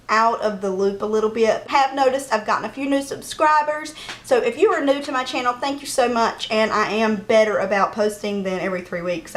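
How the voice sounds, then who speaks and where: Young female beauty vlogger, casual and chatty, slightly high pitch, rambling delivery. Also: fairly bright tone. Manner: chatty, apologetic, rambling with many "like" and "um". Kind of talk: casual monthly favorites vlog.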